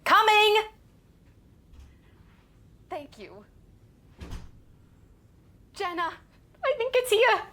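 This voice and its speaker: An actress as an excitable, doting mother in an audio drama. Her voice is high and swings freely as she bosses and coaxes her grown daughter along. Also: very slow, long pauses, exaggerated voice. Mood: excited, encouraging. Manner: bossy and coaxing, with animated exclamations. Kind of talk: naturalistic audio drama acting.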